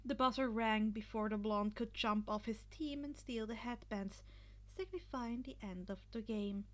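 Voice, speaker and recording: A woman reading fiction aloud with expressive, dramatic narration and a casual, informal touch. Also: fairly high pitch, moderate pace. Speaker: podfic reader.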